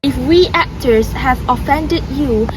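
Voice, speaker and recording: A woman's voice: high-pitched, a little slow, music in the background, low-quality recording. A young woman in an amateur dramatic reading, playing stage characters who address the company in modernised Shakespeare. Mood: apologetic, coaxing. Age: young adult.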